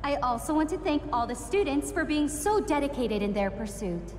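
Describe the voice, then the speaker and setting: A woman playing a proud, popular teenage girl in a video game, cool and self-assured with a guarded softer side. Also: high voice, big pitch swings, moderate pace, a little hiss or hum. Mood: gracious, public. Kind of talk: video game cutscene dialogue.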